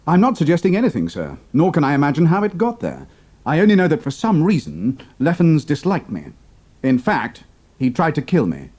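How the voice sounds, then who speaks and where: A young British airman, acted out by a male narrator, composed and formally respectful, with a husky edge and a widely moving, animated pitch. He speaks in clipped, earnest military phrases, as in an adventure audiobook. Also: a little fast. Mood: respectful, aggrieved. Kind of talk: dramatised audiobook character dialogue.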